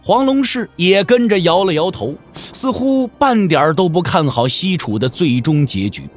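A man's voice: sits high, animated, moderate pace, soft background music. A man giving dramatic scripted narration for a wuxia audio drama.

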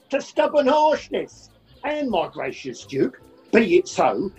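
Woman doing stern vexed parent in a shakespeare radio play, slow and swooping, indignant. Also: medium pitch, warm tone, low-quality recording. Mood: cold, insistent. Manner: indignant, emphatic, declamatory. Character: vexed, stern parent demanding obedience from a daughter.

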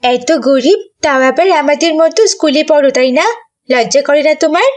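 A woman's voice: high-pitched, moderate pace, voice pushed up, lightly acted. A woman voicing an arrogant rich schoolgirl in a cartoon, haughty and taunting. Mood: mocking. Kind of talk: Islamic moral cartoon dialogue.

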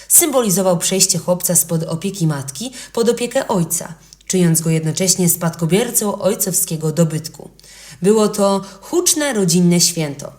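A woman's voice: on the low side, a little mellow. A woman reading a legend for children as a scripted storybook narrator.

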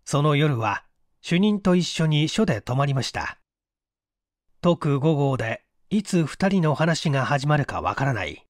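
A male narrator reads a mystery story with animated, varied intonation. His voice sits a little high for a man, and the sound is dry and close, as a scripted audiobook reading. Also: a little slow, no room sound. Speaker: audiobook reader, stage actor.

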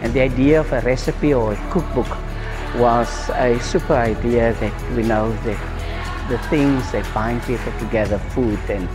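A middle-aged South African man, a church minister, speaking in a measured, hesitant way with many fillers, recorded on a lapel mic in a small church. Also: mid-range voice. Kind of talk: documentary interview. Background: background music.

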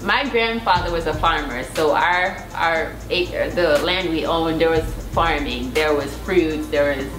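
A woman in her thirties with a Jamaican accent, a guest talking earnestly and laughing easily in a casual chat. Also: deep voice. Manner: earnest, quick to laugh, agreeable. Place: indoor studio set with couch. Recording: roomy studio with music behind.

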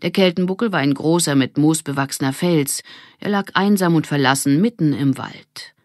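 A woman narrating a children's audiobook in an expressive storytelling manner. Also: sits low, moderate pace, no echo, clean studio sound.

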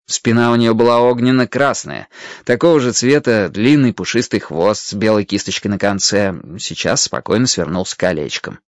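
A man's voice: medium pitch, dry recording. A man narrating a children's adventure story expressively from a script. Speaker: audiobook narrator.

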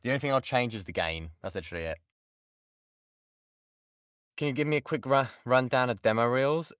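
A man's voice: mid-range voice, fairly quick, fairly bright tone, clear, resonant tone, no echo, studio quality. A British man, a voice actor, chatting informally and self-deprecatingly as he answers questions in an online workshop. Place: insulated padded home booth. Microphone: XLR studio microphone.

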